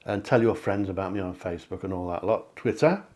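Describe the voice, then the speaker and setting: A man, a psychic hosting a card reading, talking in a chatty, encouraging way that often corrects itself, his voice a little hoarse. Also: deep voice, a little fast, darkish tone. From England. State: slightly hoarse, clearing throat. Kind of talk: tarot-style card reading to camera. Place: home near the sea.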